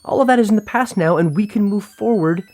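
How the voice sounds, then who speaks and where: A woman's voice: mid-range voice, quick pace, a little mellow, faint music behind, lightly acted. A woman playing a cool, condescending government agency chief in an audio comedy drama, formal and smugly authoritative.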